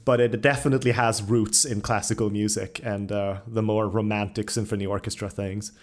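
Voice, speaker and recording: A man's voice: fairly low pitch, flat delivery, fairly quick. A man hosting a podcast interview in a casual, enthusiastic chat style, with an eager, gushing manner. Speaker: podcast host interviewing a composer.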